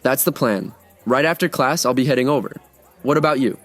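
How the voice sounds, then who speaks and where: A relaxed, joking young art student in his twenties, played by a man in an audio drama. He has a mid-range voice and a casual, teasing delivery. Soft music plays underneath. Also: mid-range voice, a little fast, natural voice. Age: 20s. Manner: casual, joking and laid-back.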